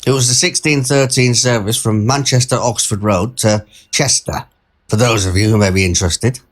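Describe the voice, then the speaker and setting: A man performs a mild-mannered, bemused male train passenger narrating an audio short story. His pitch sits in the middle range. He talks conversationally in wryly formal wording, wandering into pedantic asides. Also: fairly even intonation, normal speed, lightly acted. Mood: wry, digressive.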